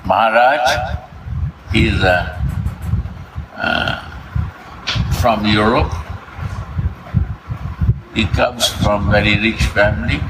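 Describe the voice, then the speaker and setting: Slow halting man. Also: on the low side. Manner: halting non-native English with restarts. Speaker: ashram host introducing visitors. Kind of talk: casual conversation. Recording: noisy background.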